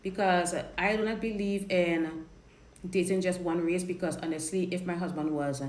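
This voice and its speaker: A woman's voice: fairly low pitch, steady tone, moderate pace, a little mellow. A woman from Trinidad with a Trinidadian accent, a dating coach chatting frankly while answering viewer questions on a live stream. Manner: chatty, frank, repeats and restarts words. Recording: roomy live-stream audio with faint noise.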